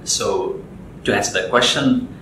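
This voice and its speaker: A young man from Nepal, a guest in an interview, talking in a relaxed, rambling way with lots of fillers and restarts. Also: mid-range voice, unhurried, noisy background. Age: young adult. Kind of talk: casual interview chat. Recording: echoey room with loud music.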